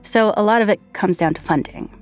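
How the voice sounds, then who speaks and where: A woman co-hosting a podcast, explaining things in a measured way. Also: mid-range voice, faint music behind. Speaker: staff writer and co-host. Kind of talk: podcast conversation.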